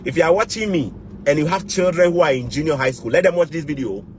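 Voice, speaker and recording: Emphatic Ghanaian man over clear background noise. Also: on the high side, quick pace, darkish tone. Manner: emphatic, repeats key words and phrases. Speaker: YouTube vlogger. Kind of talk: motivational advice vlog.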